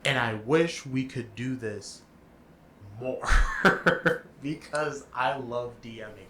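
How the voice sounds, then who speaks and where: A man's voice: mid-range voice, takes it slowly, bright, forceful tone. A man hosting a podcast in casual chat, talking with enthusiasm and laughing often. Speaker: podcast host. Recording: roomy with faint background noise.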